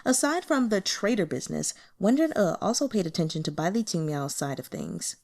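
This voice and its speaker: A woman reading a translated fantasy web novel aloud in a measured, expressive storytelling manner. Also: fairly low pitch, vocal fry. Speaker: audiobook reader.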